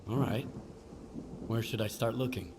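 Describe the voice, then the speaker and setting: Brisk low-voiced stalker hero in a game, terse questions, faint noise behind. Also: male voice, vocal fry. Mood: businesslike. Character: terse male stalker protagonist seeking information. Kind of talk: video game dialogue.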